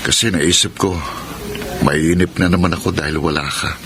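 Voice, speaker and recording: A man's voice: low voice, fairly even intonation, normal speed, background noise, lightly acted. A Filipino actor playing a sincere, smitten young man in a radio drama, speaking earnestly and with measure. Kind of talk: Philippine radio drama acting.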